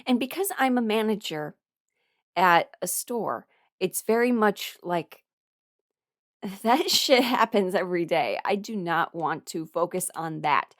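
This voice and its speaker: A woman talking casually and confidingly, giving personal advice in an informal, halting way and often correcting herself. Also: medium pitch, lively intonation, dry recording, studio quality. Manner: informal, halting and self-correcting, chuckles while talking, mimics others in dialogue. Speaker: host, voice performer giving a personal ramble.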